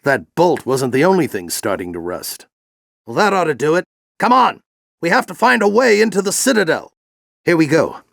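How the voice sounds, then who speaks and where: A man voicing an aged, furry alien general in a video game, a gravelly, grand and encouraging mentor. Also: fairly high pitch, very animated, moderate pace, a bit bright, no echo, studio quality, full character voice. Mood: focused, resolute. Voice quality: gravelly, theatrical. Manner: grand, exhorting, coaching. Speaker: mentor and military veteran. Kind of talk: video game character acting.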